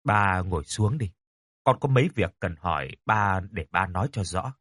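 A man voicing a weary adult son in a dramatic story, speaking quietly, gently and firmly. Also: mid-range voice, lively intonation, normal speed, husky, dry recording, studio quality. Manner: quiet, gentle but firm. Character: weary, restrained adult son, grimly controlled.

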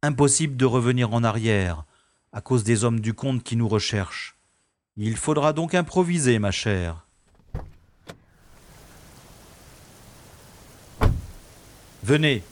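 A man's voice: mid-range voice, lively intonation, moderate pace, lightly acted. A man playing a wisecracking male secret agent in a French science-fiction audio drama, with dry, ironic delivery. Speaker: lead character in an audio drama. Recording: rain and water sound effects behind.

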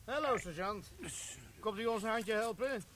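An actor playing a practical army corporal in a radio play, giving steady matter-of-fact orders. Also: male voice, sits high, normal speed, a little hiss or hum. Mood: calm, practical. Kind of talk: broadcast radio play acting.

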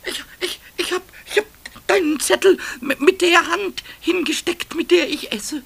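An actor playing a small, cheeky, boastful male kobold in a children's radio play, squeaky and excitable, often stammering over repeated words. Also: moderate pace, exaggerated voice. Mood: upset, flustered. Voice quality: squeaky, creaky.

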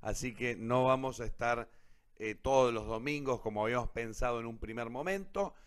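An Argentine man speaks as a radio host in a middle-pitched voice. His delivery is casual and informal, with a grateful manner, as in a live sign-off. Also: normal speed, clean tone. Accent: Argentine Rioplatense.

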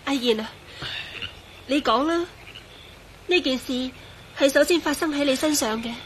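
A woman's voice: high-pitched, a little slow, slight background noise. An actress in a radio drama playing a worried woman who speaks hesitantly and pleadingly in Hong Kong Cantonese. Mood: gentle urging.

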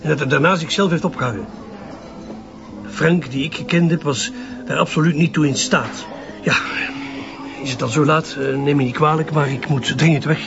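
An actor playing a smooth-talking, evasive activist journalist with a Flemish accent, articulate and slightly condescending, in a radio drama. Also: male voice, a little high, fairly even intonation, moderate pace. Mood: defensive, evasive. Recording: slightly dull lo-fi audio, music behind.

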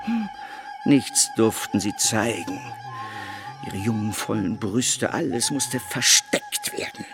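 An actor playing an eccentric, lecherous missionary preacher in a radio play, rambling and fawning with self-satisfied rhetorical questions. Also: male voice, mid-range voice, huge pitch swings, slow pace, over music, full character voice. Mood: leering rapture.

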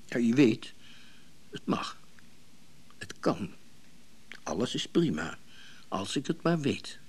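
An actor playing a wealthy, indulgent but watchful partner in a radio drama, speaking in a hesitant, measured way. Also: male voice, mid-range voice, very animated, darkish tone, slightly rough, full character voice. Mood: probing.

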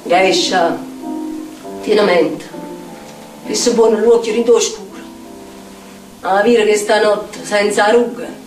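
Woman acting a tormented Neapolitan outcast, slow and echoey. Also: medium pitch, fairly even intonation, a little mellow, natural voice. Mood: brooding invocation. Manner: incantatory, dramatic, repetitive phrasing. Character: tormented street-dwelling outcast in a Neapolitan stage monologue. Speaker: stage actress. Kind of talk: theatrical poetic monologue. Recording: echoey distant stage sound with music. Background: plucked guitar music.

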